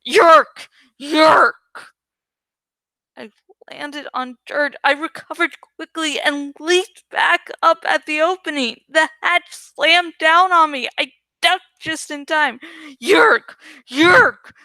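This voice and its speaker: A woman narrates an audiobook with dramatic expression. Her voice is high and bright, and she delivers short phrases deliberately at a slow pace. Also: no echo. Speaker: book narrator.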